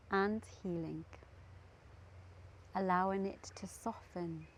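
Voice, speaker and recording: Slow-speaking woman guiding a meditation. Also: mid-range voice. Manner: soothing, gentle, deliberate with long pauses between phrases. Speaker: meditation guide. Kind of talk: guided meditation.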